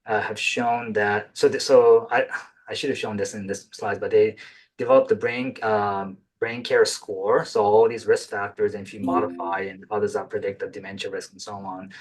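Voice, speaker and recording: A man with a fairly low voice answers questions after his talk over a video call. He speaks tentatively, with fillers and restarts, as he works through each answer. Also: little pitch movement, moderate pace, breathy edge, low-quality recording. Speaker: presenter, physician. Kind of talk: webinar Q&A answers.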